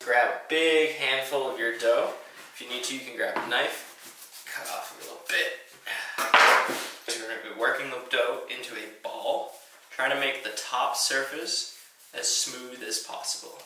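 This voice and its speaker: A man's voice: mid-range voice, unhurried, sharp tone, recorded from a distance. A young man co-hosting a playful home cooking tutorial, joking around while giving instructions. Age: young adult. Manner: jokey, teasing, instructional. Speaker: cooking show co-host. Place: small home kitchen, indoors. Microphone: camera mic from a distance. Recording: echoey kitchen from off-mic.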